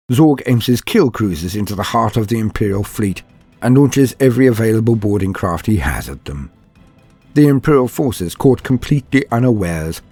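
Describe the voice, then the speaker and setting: A man reading scripted fantasy lore narration in a theatrical storyteller manner, pausing for effect. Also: on the low side, a little mellow, breathy edge. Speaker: narrator of a fan lore channel.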